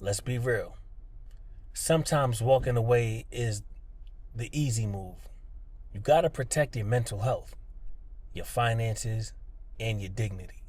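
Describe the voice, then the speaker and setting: Husky-voiced man giving a motivational talk. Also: medium pitch, measured pace, pauses often, darkish tone. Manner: earnest, plainspoken, emphatic, addresses listener directly. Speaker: podcast host, freelancer. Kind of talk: motivational podcast monologue, conversational.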